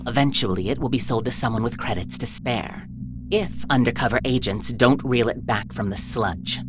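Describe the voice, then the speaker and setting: A woman's voice: extremely low pitch, normal speed, background noise. An actress playing a smug, imperious female crime boss in a visual novel game, talking down to people with languid endearments. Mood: commanding, lecturing. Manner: condescending, purring endearments. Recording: music behind the voice. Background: game music.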